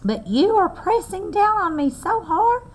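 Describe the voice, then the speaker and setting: A woman's voice: very animated, a little slow, exaggerated voice, poor audio. A woman doing a chirpy little squirrel boy. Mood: pleading. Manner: high chirpy squeaky voice. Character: excited, cheeky little male squirrel.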